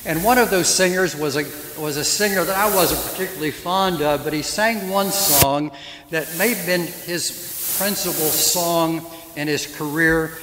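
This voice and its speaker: A man's voice: fairly high pitch, normal speed. A man in his seventies, a priest giving a sermon and telling stories, often turning to the congregation with questions. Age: 70s. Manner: gentle storytelling preacher who asks the congregation questions. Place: church. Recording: echoey church hall with hiss.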